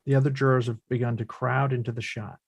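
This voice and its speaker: A man's voice: medium pitch, level tone, fast, vocal fry, husky, no room sound. Man reading stage directions, dry sound. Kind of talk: scripted narration at a play table read.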